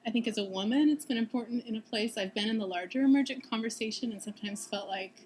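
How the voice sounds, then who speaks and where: A woman in her thirties, a seminary student, answering interview questions thoughtfully, laughing often and pausing with ums. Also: fairly high pitch, a little mellow, slight background noise, poor audio. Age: 30s.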